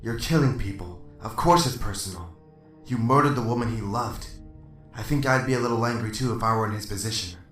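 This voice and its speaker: A man's voice: on the low side, a little fast, fairly bright tone, distant mic, close to an everyday voice. A man playing a stern, exasperated male police detective running an interrogation, recorded roomy and off-mic with music under it. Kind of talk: amateur radio drama acting.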